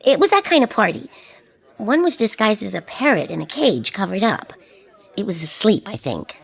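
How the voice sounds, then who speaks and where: A woman's voice: mid-range voice, very animated, normal speed, vocal fry, husky, full character voice. A woman playing a wistful, regretful television presenter, confiding and wry, in a comic science fiction radio drama. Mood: confiding, hesitant.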